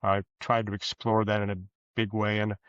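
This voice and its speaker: A man giving conversational interview answers as a guest author, in a hesitant, halting way, often correcting himself. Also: lowish voice, completely flat delivery, smooth, dry recording, lo-fi sound.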